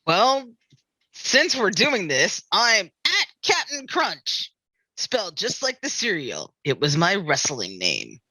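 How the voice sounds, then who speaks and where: A performer playing a wry, unhurried small-town male sheriff in a comedy table read. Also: mid-range voice, huge pitch swings, sharp tone, no echo, exaggerated voice, poor audio. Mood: wry, awkward.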